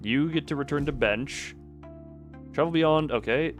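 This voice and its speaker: Male game streamer, slightly high voice, casual and excitable, rambles through decisions aloud, faint music behind. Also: a little slow. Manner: rambling, excitable, talking to himself. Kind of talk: casual live gaming commentary, thinking aloud.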